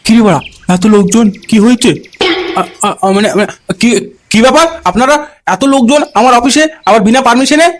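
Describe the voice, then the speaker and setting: A man voicing a flustered, indignant and cowardly village schoolmaster in a comic cartoon skit, with a pitched-up voice. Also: expressive, fairly quick, slight background noise. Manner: blustering, stammering, pleading.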